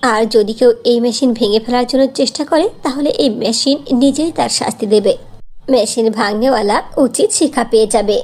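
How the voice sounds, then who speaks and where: Cartoon fairy mother played by a woman: high voice, tender, soothing, patiently reassuring. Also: brisk, a little mellow. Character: loving, reassuring fairy mother returned from death. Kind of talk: cartoon fairy-tale voice acting.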